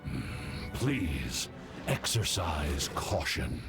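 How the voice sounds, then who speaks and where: A great dragon from a video game, deep and unhurried. It speaks with grave, formal courtesy while loud music plays behind it. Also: male voice, sing-song, exaggerated voice. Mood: solemn. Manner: solemn, formal.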